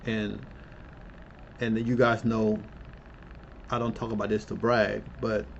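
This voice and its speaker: A man's voice: lowish voice, level tone, takes it slowly, creaky, lo-fi sound, a little hiss or hum. A man in his fifties hosting an investing channel, talking casually and rambling as he walks through his portfolio, with lots of ums and restarts. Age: 50s. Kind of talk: casual walkthrough of a portfolio tracker. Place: Colombia.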